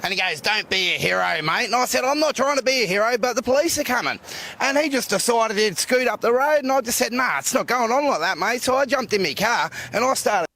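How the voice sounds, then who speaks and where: Bright broad Australian man telling a story. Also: extremely high pitch, fairly quick, no room sound. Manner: animated storyteller. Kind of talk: telling an anecdote.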